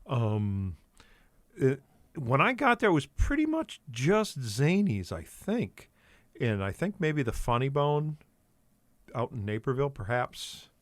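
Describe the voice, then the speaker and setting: A man's voice: medium pitch, big pitch swings, slow pace, dry recording. An older man, a guest comedian, telling rambling stories in a casual podcast chat with lots of fillers and restarts.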